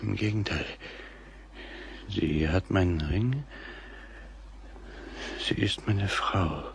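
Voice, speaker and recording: An actor playing a drunken, bewitched bridegroom in a German radio play, husky and halting, theatrical and agitated. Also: sits low, a little hiss or hum, exaggerated voice. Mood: haunted, confessing. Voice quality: husky, gravelly. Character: drunken, bewitched bridegroom, mocking then desperately frightened.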